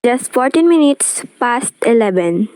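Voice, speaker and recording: A woman's voice: on the high side, very animated, a little slow, darkish tone, no echo, rough recording. A woman playing a polite, unruffled hospital receptionist in a radio play, formal and matter-of-fact.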